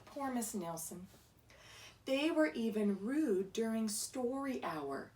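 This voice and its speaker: A woman's voice: fairly high pitch, lively intonation, slow, darkish tone, a little hiss or hum. A woman reading a children's picture book aloud like a teacher, explaining simply and putting questions to a young listener. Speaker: read-aloud storyteller, teacher. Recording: echoey room, distant microphone.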